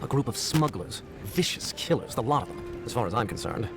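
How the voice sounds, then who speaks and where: A man playing a gruff, wary local guard in a video game, giving blunt, colloquial cautions. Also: medium pitch, animated, brisk, lightly acted. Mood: wary warning. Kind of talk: video game character dialogue. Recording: game audio with music behind.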